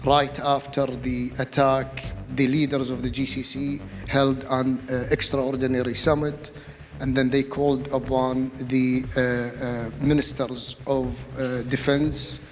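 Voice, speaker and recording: Guy on a panel, halting n diplomatic, flat pitch, big echoey hall. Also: slight background noise. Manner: halting, repetitive, diplomatic phrasing. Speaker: panel speaker, official. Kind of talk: panel discussion answer.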